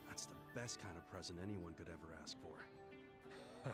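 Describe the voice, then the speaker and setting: A man's voice: sits low, moderate pace, lightly acted. An actor playing an earnest, kindly man in a crime drama video game. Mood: earnest, reassuring. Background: game music.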